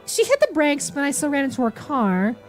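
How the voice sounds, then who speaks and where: Woman putting on an eager, high-pitched young man. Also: very animated, fairly quick, soft background music. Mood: earnest, flustered. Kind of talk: visual novel character reading.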